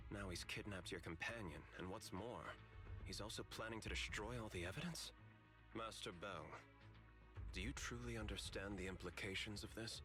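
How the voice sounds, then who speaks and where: A man acts out a shrewd corporate dealmaker from a video game. His voice sits fairly low, with smooth, courteous, measured delivery and a touch of theatre. Music plays clearly behind him. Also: natural voice. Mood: probing, wary. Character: shrewd, calculating corporate man, politely self-serving.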